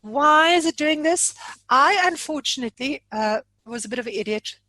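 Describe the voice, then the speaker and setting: A South African woman giving an informal software demonstration, chatty and jokey, poking fun at herself. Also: high-pitched, lively intonation, moderate pace, no room sound. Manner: chatty, jokey, self-deprecating. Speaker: software trainer.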